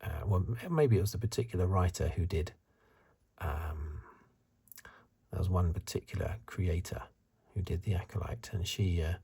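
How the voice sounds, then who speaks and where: Male ASMR reviewer: low, mellow, very husky and breathy, soft-spoken to half-whispered, halting musing delivery, close-miked. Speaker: host of an ASMR review channel.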